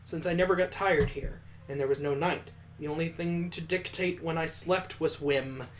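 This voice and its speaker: A man with a somewhat high-pitched voice reads visual novel narration aloud. His delivery is expressive and playful, slipping into casual joking commentary as he hosts. A light layer of noise sits behind him. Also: normal speed. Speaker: gaming video host.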